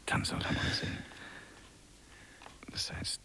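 An actor playing a resentful, aloof visitor, a man musing to himself in a hushed radio play monologue. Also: slow, in a whisper. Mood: resentful, brooding.

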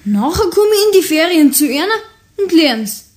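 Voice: high voice, big pitch swings, moderate pace, darkish tone. A performer playing a polite, fond young schoolboy with a Bavarian accent, earnest and conversational, in a radio play. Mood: amused. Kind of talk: German radio play acting.

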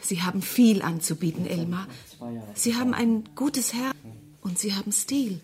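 A woman's voice: medium pitch, normal speed, a little mellow, natural voice. An actress playing a lonely, trusting woman in a radio drama, speaking tenderly, earnestly and hesitantly. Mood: reassuring, devoted. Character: lonely, devoted, trusting woman visiting a prisoner. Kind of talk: German radio drama acting.

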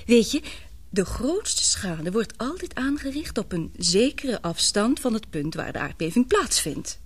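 An actress in a radio play playing a frightened young woman confiding in her lover, halting and breathless in an earnest way. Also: on the high side, sing-song, slightly rough, heavily acted. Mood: earnest, insistent.

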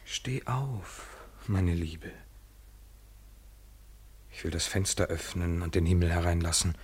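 A man's voice: deep voice, slow pace, darkish tone, breathy edge, heavily acted. An actor playing a grave, devoted man in love, speaking in a poetic, solemn radio play style. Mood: pleading, gentle.

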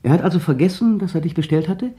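An actor playing a tense, controlling husband in a 1960 German radio drama, clipped and insistent, sinking to a mutter. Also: male voice, a little high, animated, fast talker, dark tone, lightly acted. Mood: interrogating. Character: tense, controlling young husband pressing his wife.

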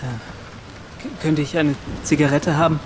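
An actor playing a dejected, shaken young seaman in a radio play, speaking in a halting, subdued way. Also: a little high, steady tone, noisy background, natural voice. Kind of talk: German radio play acting.

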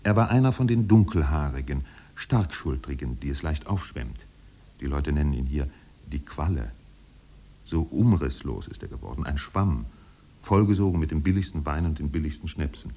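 An actor playing a composed, wry storyteller narrating a 1950s literary radio drama. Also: male voice, rumbling low, expressive, moderate pace, mellow, husky, heavily acted. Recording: dull old radio recording.

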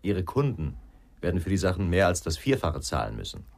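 A man's voice: deep voice, sing-song, brisk, a little mellow, exaggerated voice. An actor playing a skeptical man selling inherited furniture in a radio play. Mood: haggling. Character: bargaining nephew selling inherited antique furniture.

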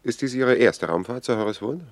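A man's voice: medium pitch, fairly quick, natural voice. A man playing a formal, deferential physician to a statesman in a 1950s radio space drama. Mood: deferential, cautious. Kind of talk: 1950s German radio play acting. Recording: noisy vintage 1950s radio recording.

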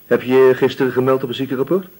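An actor as a probing, husky police inspector. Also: male voice, mid-range voice, flat delivery, darkish tone, lightly acted, rough recording. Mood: stern, insistent. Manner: probing questions, tag questions, deliberate pauses. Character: male police inspector, probing, patient but increasingly insistent. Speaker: actor in a radio play. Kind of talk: Dutch radio drama interrogation acting.

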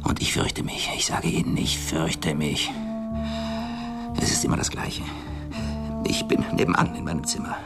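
Radio play role: haughty, suspicious old millionaire, very low gravelly base, erratic leaps. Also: male voice, wildly swooping pitch, moderate pace, long pauses, loud music behind the voice, exaggerated voice. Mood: fearful, intense. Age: older. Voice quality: hoarse, breathy, cracking voice. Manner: erratic, abrupt, barking then hushed. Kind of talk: radio drama acting.

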